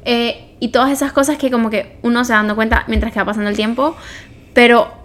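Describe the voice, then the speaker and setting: A woman's voice: fairly high pitch, fairly even intonation, fast. A young woman with a Venezuelan accent telling personal stories in casual podcast chat, informally and often correcting herself as she goes. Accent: Venezuelan Spanish. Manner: informal, self-correcting storytelling. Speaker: podcast co-host.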